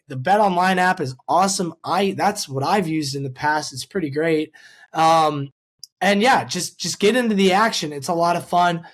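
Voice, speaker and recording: A man hosting a sports podcast, talking casually and informally with an upbeat fan's manner. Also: high-pitched. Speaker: podcast host. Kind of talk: casual podcast intro and ad reads.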